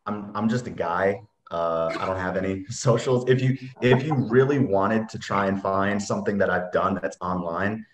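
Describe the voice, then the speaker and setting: A young man with a low voice chats casually as a guest on a video call. The sound is clearly echoey, from a bare room. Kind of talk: casual chat. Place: small bare room indoors. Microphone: webcam video call.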